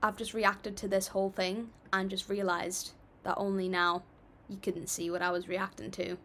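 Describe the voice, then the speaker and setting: A woman's voice: medium pitch, level tone, normal speed, a bit bright, a little hiss or hum. A young woman hosting a casual livestream, chatting and reading viewer comments aloud in an upbeat, apologetic way. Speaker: livestream music reactor.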